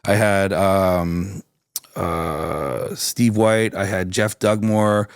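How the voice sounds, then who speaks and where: A raspy-voiced man from Canada, chatting loosely as an interview guest with lots of restarts and fillers. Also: deep voice, steady tone, very slow, no room sound, clean studio sound. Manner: rambling, many restarts and fillers. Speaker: singer-songwriter guest. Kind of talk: casual interview chat.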